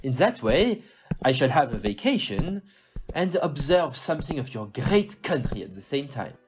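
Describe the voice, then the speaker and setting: A man playing a polite, observant gentleman detective in an audio play, with a French accent and a formal, theatrical manner. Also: mid-range voice, sing-song, moderate pace, background noise, lightly acted. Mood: courteous, observant. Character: polite, observant foreign gentleman detective with little grey cells. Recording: muffled audio, loud music behind.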